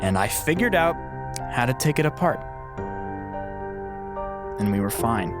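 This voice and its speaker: Special-ops agent, American accent, dry and deadpan, loyal, lively intonation, audio drama, prominent music bed. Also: male voice, medium pitch, a little slow. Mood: reflective storytelling. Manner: deadpan, matter-of-fact. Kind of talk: audio drama acting, naturalistic dialogue. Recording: music behind the voice.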